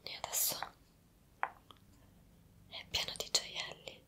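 Woman acting a bewildered time traveller, slow whisper. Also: sharp tone. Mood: bewildered, wondering. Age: young adult. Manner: hesitant, halting, wondering. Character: bewildered young woman magically transported to the past. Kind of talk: whispered Italian ASMR roleplay.

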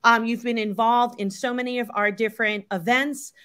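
A woman's voice: a little high, steady tone, normal speed, a bit bright, smooth. A woman hosting a live webcast over a video call, welcoming guests. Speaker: host. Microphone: computer video call.

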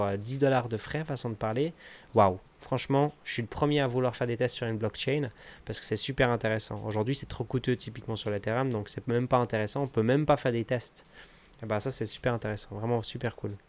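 A man's voice: mid-range voice, steady tone, fairly quick. A man interviewing over a video call, chatty and enthusiastic, with frequent hesitations. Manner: enthusiastic, filler-heavy, with many "euh". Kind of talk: casual interview chat. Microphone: webcam video call.

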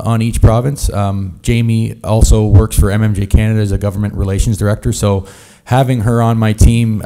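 A man's voice: on the low side, mellow. A man speaking as a business owner on a conference panel, earnest and filler-laden. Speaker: company founder panelist. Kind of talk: panel discussion. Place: conference stage.

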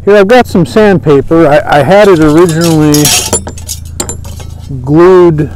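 A man in his thirties giving a casual, unhurried, folksy show-and-tell as an instructor. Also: fairly high pitch, a little mellow, a little hiss or hum, low-quality recording. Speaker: bushcraft instructor and presenter. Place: outdoors at a table on a lawn by woods.

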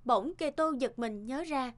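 High-pitched woman narrating a fairy tale. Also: normal speed. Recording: music bed behind the voice. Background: background music.

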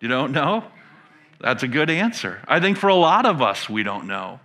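A middle-aged American man, a pastor giving a conversational sermon, emphatic and pausing to put questions to his listeners. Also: mid-range voice, very animated, bright, forceful tone. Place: indoor stage in a church room.

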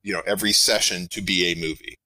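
A middle-aged man with a big, projecting voice, pitched a little low, with a bright, forceful edge. He talks in a rambling, animated way, often restarting his sentences. The sound is close and dry. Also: fast talker, dry recording, low-quality recording. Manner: rambling, self-interrupting, animated. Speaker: game designer guest. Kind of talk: podcast interview.

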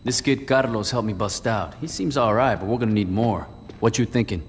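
A man's voice: on the low side, fairly quick, slight background noise, natural voice. Man acting tough gang boss in echoing hall. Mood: dry, businesslike. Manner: terse, profane street talk. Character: tough, blunt, commanding male street gang boss. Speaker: voice actor in a video game. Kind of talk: video game cutscene acting.

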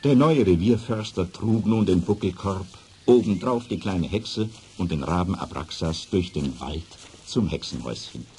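A man narrates a children's tale with very lively intonation. His voice is low and mellow, on an old record with faint noise. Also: moderate pace, few pauses. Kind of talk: scripted children's storytelling narration.

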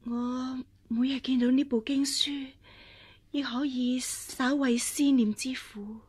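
An actress in a radio drama playing a frightened, scheming fake empress who pleads and stammers. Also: female voice, sits high, steady tone, slow, a little mellow, husky, exaggerated voice. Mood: nervous, meek. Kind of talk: Cantonese radio drama acting.

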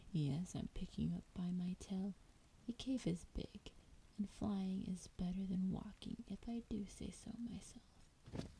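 A woman playing a lonely, ancient female dragon, tender and teasing, in a breathy, intimate whispered ASMR roleplay. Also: mid-range voice, dark tone, low-quality recording. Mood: tender, welcoming. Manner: slow, coaxing, affectionate. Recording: clear background noise, ambience.

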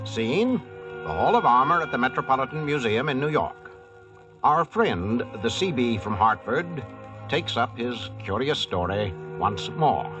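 A man's voice: medium pitch, big pitch swings, unhurried, over music, low-quality recording. A man hosting a 1940s radio broadcast as announcer, formal and theatrical in his delivery.

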